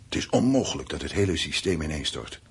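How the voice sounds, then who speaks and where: A man's voice: a bass voice, very animated, fast, vocal fry, husky, full character voice. An actor playing a weary, defensive, practical man in a radio play, reassuring but turning irritable when challenged. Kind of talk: naturalistic radio play acting. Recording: noisy radio play recording with background ambience.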